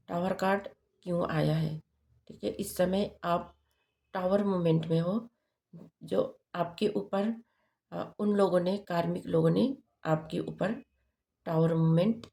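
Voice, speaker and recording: A woman talks through a tarot reading in a low, gravelly voice worn hoarse by a sore throat. She speaks very slowly and haltingly, pausing on fillers and tag questions. She sounds like she is leading viewers through the cards one at a time. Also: dark tone. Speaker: tarot reader.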